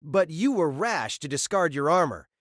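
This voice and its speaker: A man playing a soft-spoken gallant knight. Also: a little high, lively intonation, moderate pace, dry recording, studio quality. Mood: proud. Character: proud gallant young male spear knight.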